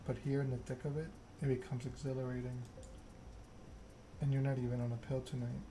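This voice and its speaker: A man reading a story aloud in an ASMR narration style, measured and unhurried. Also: mid-range voice, little pitch movement. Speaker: video game streamer reading game text aloud. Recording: noticeable background noise.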